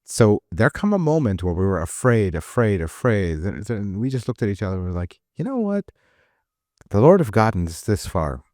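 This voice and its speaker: Low-voiced guy, earnest and emphatic, dry close mic. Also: very animated, moderate pace, a little mellow, no room sound. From Iraq. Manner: earnest, emphatic, with restarts and repeated words. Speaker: mechanic and shop owner. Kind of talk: casual heartfelt conversation.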